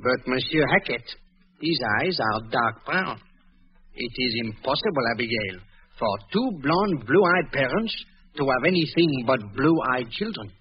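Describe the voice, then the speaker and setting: An actor playing a polite, shrewd Belgian detective with a French-speaking accent and courteous, hesitant, probing talk in a 1940s radio drama. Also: male voice, mid-range voice, animated, a little slow, close to an everyday voice. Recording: muffled, noisy 1940s radio recording.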